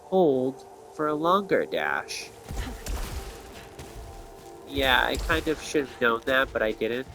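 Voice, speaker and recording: A man's voice: medium pitch, sing-song, slow pace, pauses often, pushed and bright, a little hiss or hum. A man giving casual gameplay commentary, thinking aloud and explaining each step to viewers. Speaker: video game player and commentator.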